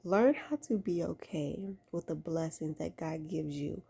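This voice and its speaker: A woman in her late twenties speaks casually, like a vlogger giving heartfelt life advice. Her voice is dark and mellow with a gravelly fry, and she takes her time. She leans on key phrases, repeating them for emphasis. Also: low voice, measured pace. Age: late 20s. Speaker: vlogger giving faith-based lifestyle advice. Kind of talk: casual talk to camera, exhorting.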